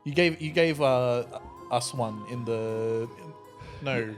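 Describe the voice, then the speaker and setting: Mid-pitched man chatting as a game player. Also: slow, faint music behind. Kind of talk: casual improvised game chat.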